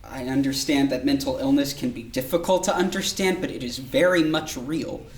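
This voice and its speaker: A low-pitched, patient female child psychiatrist acted by a woman in a staged drama. She speaks in a measured, reassuring, professional way. The sound is distant, with strong room echo. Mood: matter-of-fact. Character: calm, patient child psychiatrist questioning a young patient. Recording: distant, echoey room recording.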